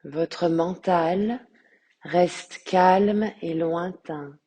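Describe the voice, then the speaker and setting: A woman yoga teacher leads a guided meditation in a soft, husky voice. Her tone is mellow and on the low side. She speaks extremely slowly and calmly, leaving long pauses between phrases. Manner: calm, soothing, measured with long pauses. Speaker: yoga teacher guiding relaxation.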